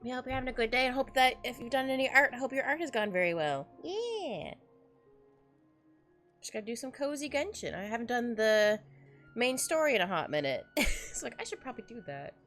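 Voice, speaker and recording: A chatty young woman streamer talking to her viewers while she plays, often giggling. Her voice is a little high and swoops up and down freely, with quiet game music behind her. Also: big pitch swings, brisk. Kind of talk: casual livestream chat.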